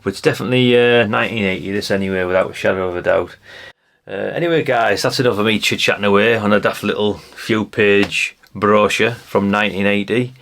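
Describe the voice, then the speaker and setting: A British man with a fairly low voice and a clear gravelly creak. He talks as a hobbyist host in a casual, rambling way. His phrasing is colloquial and chatty, with little questions tacked on the end of remarks. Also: a little fast, fairly bright tone. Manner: chatty and colloquial, often adding tag questions. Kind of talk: casual rambling commentary while leafing through a brochure.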